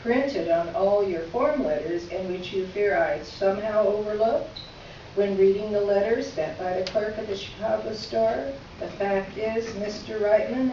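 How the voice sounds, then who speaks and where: A woman acts out a polite, pointed female columnist in a readers theater piece. She reads her letter in measured, formal phrases with dry irony. The sound is very echoey and somewhat dull, with faint noise. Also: medium pitch, mellow, lightly acted. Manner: formal, measured, drily ironic. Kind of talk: staged readers theater, reading a letter aloud. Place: live reading in a reverberant room. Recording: echoey room from far off, dull, noisy.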